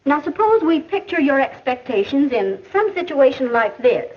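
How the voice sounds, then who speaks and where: An American actress playing a patient, instructive female mentor in a 1950s educational film, explaining in a measured, didactic way. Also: high-pitched, sing-song, a little hiss or hum. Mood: encouraging, instructive. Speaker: teacher or counselor in an educational film. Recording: muffled old 1950s film soundtrack.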